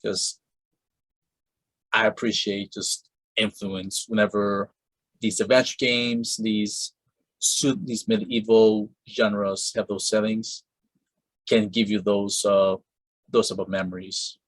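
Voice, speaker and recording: A man's voice: on the low side, level tone, slow pace, pauses often, dry recording, poor audio. A man hosting an interview podcast, chatting casually and rambling with many fillers and restarts. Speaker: podcast host interviewing a voice actress.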